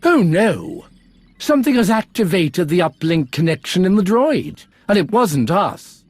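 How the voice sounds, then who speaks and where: A man's voice: high-pitched, very animated, unhurried, slightly rough, no echo, heavily acted. A man playing a fussy, bookish archaeologist full of wonder in a video game, with precise, theatrical delivery. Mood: alarmed.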